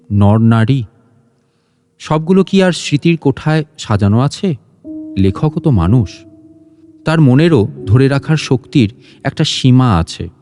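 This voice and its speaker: A man's voice: medium pitch, dark tone. A man reads a literary story as a scripted audio narration. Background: guitar and instrumental music.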